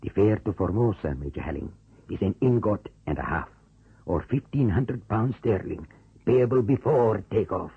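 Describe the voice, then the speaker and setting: A careful scheming officer in an old radio play, formal, slow to commit, low voice, thin boxy old sound. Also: male voice, lively intonation, slightly rough, no room sound, heavily acted. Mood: dry, explanatory. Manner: deliberate, formal, hesitant pauses. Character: measured, calculating male communist officer planning to defect. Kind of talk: old radio drama acting. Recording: muffled, narrow-band old radio recording.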